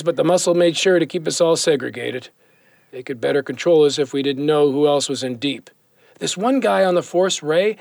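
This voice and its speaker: A man's voice: high voice, creaky, darkish tone, no room sound, exaggerated voice. A man playing a weary, guilt-ridden former cop and compulsive gambler in an audio drama, brooding and confessional in manner.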